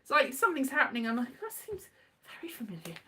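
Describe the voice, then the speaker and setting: A British woman with a high, very lively voice rambles casually as if thinking aloud. She is whimsical and digressive, with a playfully theatrical streak and big swings in pitch. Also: fast, background noise. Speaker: performer and vlogger.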